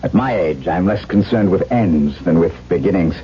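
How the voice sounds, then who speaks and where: An actor playing an earnest young gentleman suitor in a 1970s radio drama, formal, measured and romantic. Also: sits low, expressive, over loud music. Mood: courteous, grave. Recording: muffled, noisy 1970s radio broadcast.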